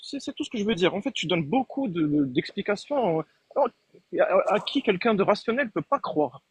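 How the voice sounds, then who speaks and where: Guy with a husky voice, slightly high, stumbling through his argument, dry sound. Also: very animated, brisk. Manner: hesitant, many fillers, explanatory. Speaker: debate participant. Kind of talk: online debate argument.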